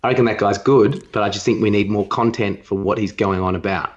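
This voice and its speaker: A man's voice: fairly low pitch, steady tone, brisk, dull audio. A man co-hosting a podcast, chatting casually and giving his opinions. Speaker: podcast co-host.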